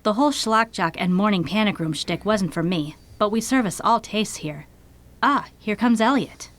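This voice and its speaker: A woman's voice: medium pitch, moderate pace, natural voice. A woman playing a curt community radio operations director, matter-of-fact and dry. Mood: curt, businesslike.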